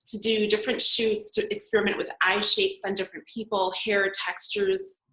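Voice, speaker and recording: A woman in her forties whose first language was Serbian tells her story in an earnest, reflective way, pausing on plenty of fillers. Her voice is bright and forward, and it rings in an echoey room over a remote call. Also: mid-range voice, level tone. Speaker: podcast guest, makeup artist and model. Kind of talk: conversational interview answers.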